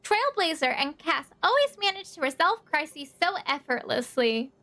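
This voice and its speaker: A woman's voice: sits high, sing-song, normal speed, fairly bright tone, heavily acted. A woman voicing a tiny, cute creature friend from a game, chirpy, polite and theatrical, with slight noise behind her. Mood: playful.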